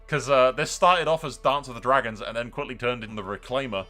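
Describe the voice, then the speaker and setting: A man's voice: mid-range voice, normal speed, pushed and bright, soft background music. A man giving casual, sweary gaming commentary as host, with a wry, joking and informal manner. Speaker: video game streamer, host.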